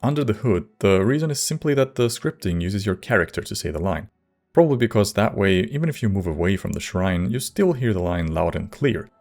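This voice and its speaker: A man narrating a scripted video essay as host, measured, with wry asides. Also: low voice, darkish tone. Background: faint music.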